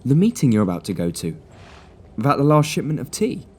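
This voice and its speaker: A man's voice: mid-range voice, wildly swooping pitch, brisk, a little mellow, breathy edge, voice pushed up. A man voicing a smug young sleuth. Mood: knowing. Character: clever young male sleuth, calm and smug.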